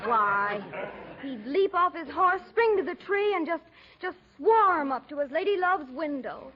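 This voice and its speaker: A woman's voice: sits high, lively intonation, measured pace. An actress playing a sweet, romantic young niece, girlish and dramatic, in a 1940s radio comedy. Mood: wistful. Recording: narrow-band old radio recording, faint hiss.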